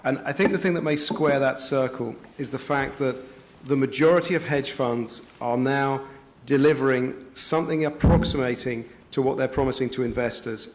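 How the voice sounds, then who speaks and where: Loud, articulate man on a panel. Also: medium pitch, moderate pace. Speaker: panelist at a finance conference. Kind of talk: panel discussion.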